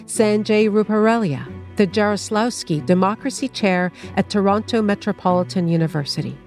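A woman's voice: medium pitch, normal speed, a little mellow. A woman host reading a formal scripted introduction for the program, presenting each panelist in turn. Faint music plays under her voice. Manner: formal, announcing. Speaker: radio show host.

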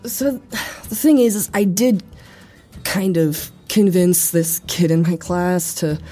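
A cheeky schoolboy prankster in an audio comedy. The voice is mellow and on the low side, with breezy, flippant delivery and some music around it. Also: female voice, takes it slowly. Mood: sheepish, amused.

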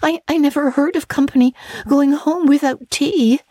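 A woman's voice: fairly high pitch, unhurried, darkish tone, dry recording, clean studio sound, voice pushed up. A woman voicing an imaginative, earnest girl who talks breathlessly and theatrically with stammering restarts. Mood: pleading.

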